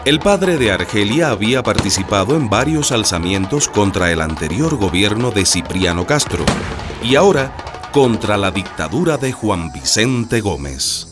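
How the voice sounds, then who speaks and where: Radio serial narrator, man, low voice with animated intonation over music. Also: moderate pace. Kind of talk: scripted radio drama narration.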